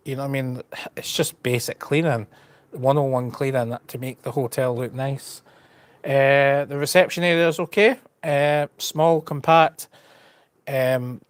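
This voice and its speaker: A Scottish man talks casually and frankly to his viewers in a plain, mid-pitched voice. He rambles, stumbles and repeats a word here and there. The sound is dry, with almost no room echo. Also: clear, resonant tone. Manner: stutters a little, repeats words. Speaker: travel vlogger on a live stream. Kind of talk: casual chat.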